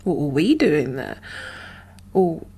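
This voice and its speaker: A woman speaking softly and often whispering in an ASMR roleplay, gentle and halting, as a guarded but caring apocalypse survivor. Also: deep voice, big pitch swings. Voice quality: soft, breathy, often whispered. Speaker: ASMR performer playing a role. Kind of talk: soft-spoken ASMR roleplay.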